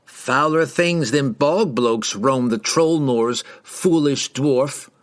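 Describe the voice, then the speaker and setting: Fantasy audiobook rider, acted by a male narrator: pompous, sneering town guard, formal old-fashioned wording, animated pitch. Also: measured pace, lightly acted. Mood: taunting. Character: haughty, hostile male town rider, leader of patrol.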